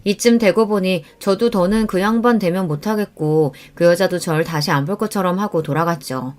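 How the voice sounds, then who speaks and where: A woman's voice: fairly low pitch, normal speed, darkish tone. A woman reading a scripted first-person story in the style of a radio story show. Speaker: story narrator.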